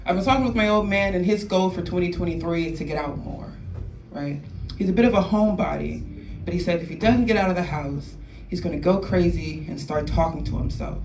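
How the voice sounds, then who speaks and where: A woman's voice: on the low side, fairly quick, a little mellow. A young woman in her twenties from New Orleans doing a stand-up comedy set, tagging her jokes with "right?" and laughing at her own punchlines. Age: 20s. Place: comedy club stage. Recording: echoey room, distant mic, noisy.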